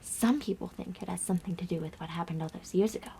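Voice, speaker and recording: A woman playing a gentle young girl on a camping trip in an ASMR roleplay, speaking softly and breathily with hushed, dramatic pauses. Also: on the low side, slight background noise. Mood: soft, teasing. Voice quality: soft, breathy whisper. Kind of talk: ASMR roleplay, soft whispered storytelling.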